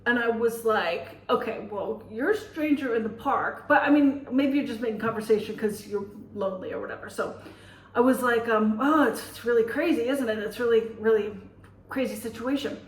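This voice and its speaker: A woman's voice: a little high, normal speed, recorded from a distance. Chatty American woman in an echoey room. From United States. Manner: chatty and rambling, with many fillers and restarts. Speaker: teacher and vlogger. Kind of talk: casual vlog monologue and storytelling.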